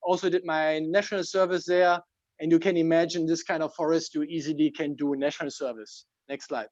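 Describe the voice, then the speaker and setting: A man with a German accent and a slightly high voice presents himself with slides. He explains things informally, phrase by phrase. The sound is close and dry but a bit dull, like a video call. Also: fairly even intonation, a little fast, a little mellow, no room sound. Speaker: club member presenting himself.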